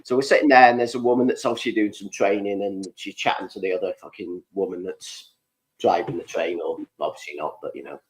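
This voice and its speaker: A man's voice: deep voice, steady tone, fairly quick, low-quality recording. A man in his forties from North Wales with a border Welsh accent, hosting a casual video-call chat and telling stories with plenty of swearing. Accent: North Welsh, near the English border. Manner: swearing, storytelling, mimics voices in anecdotes. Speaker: host. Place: home room with a computer screen behind. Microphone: webcam video call.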